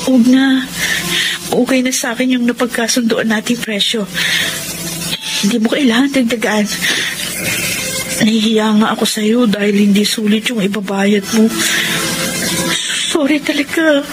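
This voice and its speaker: An actress playing a shy, self-sacrificing young daughter in a Tagalog radio drama, earnest, apologetic and hesitant. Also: female voice, on the high side, unhurried, long pauses, natural voice, poor audio. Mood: ashamed, polite. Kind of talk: Tagalog radio drama acting and letter narration. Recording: radio drama with loud music behind.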